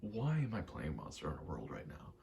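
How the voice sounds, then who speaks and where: Man, very low pitch, gravelly fry, halting ASMR gaming ramble, noticeable background noise. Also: wildly swooping pitch, dark tone. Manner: halting, meandering, thinking aloud while playing. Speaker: gaming video creator.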